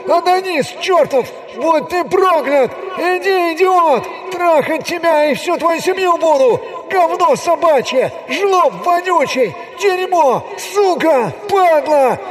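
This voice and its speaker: A man's voice: very high voice, mellow, pitched above the performer's own voice, exaggerated voice. A man voicing a panicky, cowardly, foul-mouthed young male sidekick with a frantic, whiny delivery in an audiobook.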